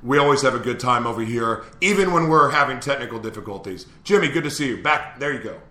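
An upbeat man hosting a live morning talk show, chatting casually and laughing easily. His voice is bright and forceful. It rings with a clear echo, as if he were in a bare room. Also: mid-range voice, animated, quick pace. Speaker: host.

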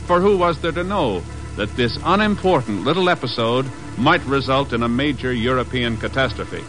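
Portentous radio announcer over loud orchestral music. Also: male voice, mid-range voice, expressive, moderate pace, low-quality recording. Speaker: announcer and narrator. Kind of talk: dramatic 1950s radio scripted narration. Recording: old 1950s radio recording.

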